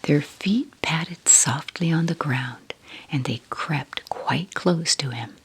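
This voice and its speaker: A woman softly whispering a bedtime story in an ASMR style, taking her time as she narrates. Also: deep voice, slight background noise. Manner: soft, unhurried whispering.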